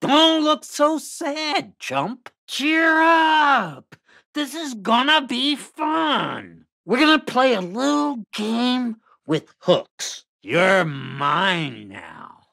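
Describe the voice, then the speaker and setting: An actor playing a foul-mouthed, short-tempered killer doll in a video game, with a raspy nasal snarl and mock-playful menace. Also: male voice, very high-pitched, big pitch swings, extremely slow, bright, forceful tone, heavily acted. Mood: gleeful taunting. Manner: menacing mock-playful taunting, heavy swearing. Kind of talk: video game character voice lines.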